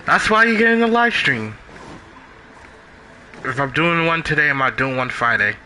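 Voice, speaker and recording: A man's voice: on the high side, animated, normal speed, bright, forceful tone, slight background noise, low-quality recording. A man livestreaming a game with casual, offhand and blunt commentary. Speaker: game live streamer.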